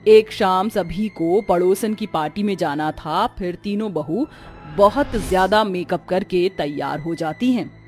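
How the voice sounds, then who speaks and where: A woman's voice: fairly low pitch, unhurried, darkish tone. A woman reading scripted story narration as the narrator. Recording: music under the voice. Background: background music.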